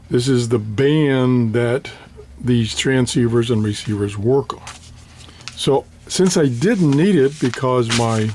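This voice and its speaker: An older man, slightly hoarse, casually showing his radio antenna and its paperwork with many fillers and restarts. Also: medium pitch, darkish tone. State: slightly hoarse, clearing throat. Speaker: ham radio hobbyist vlogger. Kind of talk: casual show-and-tell.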